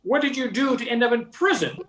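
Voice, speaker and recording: Casting: male actor as gruff, impatient-tempered small-town inspector, noir radio comedy. Very high-set, sharp voice. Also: lively intonation, brisk. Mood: stern, impatient.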